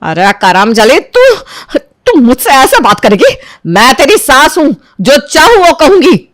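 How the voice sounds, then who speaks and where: A woman voicing a quick-tempered, domineering older mother-in-law in a story, nagging and accusing with sharp questions. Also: high-pitched, wildly swooping pitch, no room sound, studio quality, voice pushed up, heavily acted. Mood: outraged, scolding.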